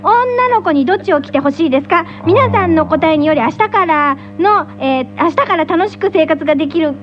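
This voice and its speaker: Female voice actor, very high pitch, casual radio chat, dull lo-fi sound. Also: expressive, a little fast. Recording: dull lo-fi radio audio over music.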